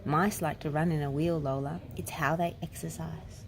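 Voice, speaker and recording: Woman reading as a patient young schoolboy, clear noise. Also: low voice, fairly even intonation, normal speed. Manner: earnest, explanatory. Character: patient, explaining older brother, young schoolboy.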